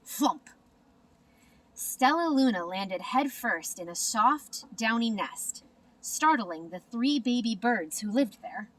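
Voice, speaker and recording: A woman narrating a children's storybook in an expressive, deliberate manner. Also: fairly high pitch, far from the mic, rough recording. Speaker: storybook reader.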